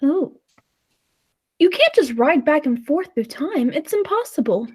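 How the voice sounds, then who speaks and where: A woman performs a courteous, skeptical guest in an old-time radio play. The voice is high but mellow, with a hesitant, deferential delivery that breaks easily into exclamation. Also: sing-song, measured pace. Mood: nervous, doubtful. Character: polite, timid, skeptical dinner guest of the professor. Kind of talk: 1940s radio play acting.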